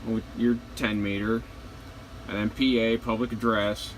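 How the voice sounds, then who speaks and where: A man giving a casual hands-on gear walkthrough, plain-spoken and halting, pausing between short phrases. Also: on the low side, low-quality recording. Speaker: truck driver showing his CB radio setup. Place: inside a truck cab. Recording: steady vehicle and air-conditioning noise behind.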